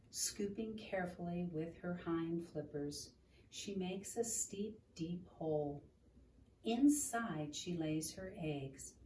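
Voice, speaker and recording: A woman reads aloud for children in a slow, gentle, teacherly manner. Her tone is mellow and she pauses to explain things. The recording is roomy, with some noise in the room. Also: on the low side, recorded from a distance. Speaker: children's storytime reader. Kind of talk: read-aloud picture book with explanations for a child.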